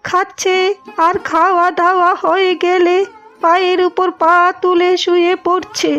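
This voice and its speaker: A woman's voice: very high-pitched, little pitch movement, unhurried, a bit bright. A woman voicing a two-faced, complaining elderly mother-in-law in a cartoon, with feigned sweetness. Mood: plaintive, complaining. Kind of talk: cartoon dialogue acting. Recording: dull audio with music behind.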